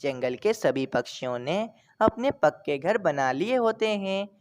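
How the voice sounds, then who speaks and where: A woman's voice: low voice, animated, unhurried, darkish tone. A woman narrating a scripted children's story as its storyteller.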